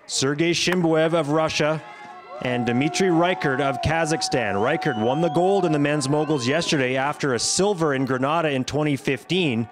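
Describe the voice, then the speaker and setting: A man giving scripted highlights commentary on a sports competition as the commentator. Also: normal speed.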